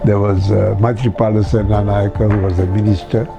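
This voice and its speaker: A man's voice: on the low side, flat delivery, fairly quick, gravelly, music in the background. An older man from Sri Lanka, whose home language was Tamil, recalling his career in a life-story interview with many hesitations. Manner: reminiscing with many hesitations and "you see".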